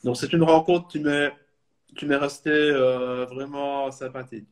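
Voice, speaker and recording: A man's voice: medium pitch, fairly even intonation, unhurried. Hesitant Belgian-raised man in his thirties. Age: 30s. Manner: hesitant, many fillers and restarts. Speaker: supporters club guest. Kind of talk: casual video call interview.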